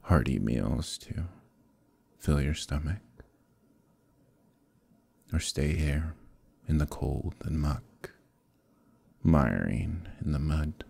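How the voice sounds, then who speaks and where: A man playing an evil conquering knight in an ASMR roleplay, speaking in a gravelly, husky, measured way, calm and menacing yet quietly coaxing. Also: rumbling low, dry recording, heavily acted. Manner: measured, deliberate, quietly persuasive, pausing often. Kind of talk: ASMR roleplay, slow intimate dramatic monologue.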